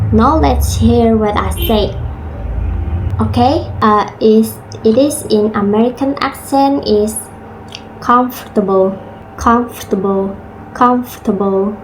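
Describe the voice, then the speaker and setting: Woman, slow careful delivery, somewhat high voice, faint room noise. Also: poor audio. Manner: slow, halting, with many uh fillers. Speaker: student. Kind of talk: class presentation on pronunciation.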